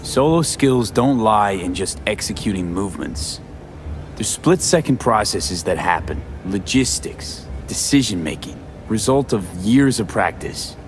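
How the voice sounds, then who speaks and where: A tough, skeptical mercenary man with a lowish voice. He speaks in dry, clipped game dialogue, with faint ambient noise in the background. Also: lively intonation, a little slow.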